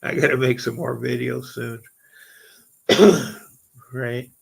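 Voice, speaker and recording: Gravelly, husky-voiced man chatting live. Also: mid-range voice, slow pace. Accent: Canadian. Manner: rambling, excitable, exclaims often. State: voice going hoarse. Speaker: live stream host. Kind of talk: casual live stream chat.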